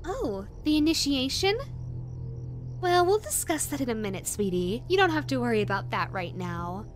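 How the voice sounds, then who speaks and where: Creepy sweet yandere bunny lady, high and swoopy, clingy and coaxing, audio roleplay. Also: sing-song, moderate pace, soft background music. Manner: saccharine, coaxing, giggly, condescending. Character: possessive, sweetly menacing yandere rabbit woman. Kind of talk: roleplay audio drama addressed to listener.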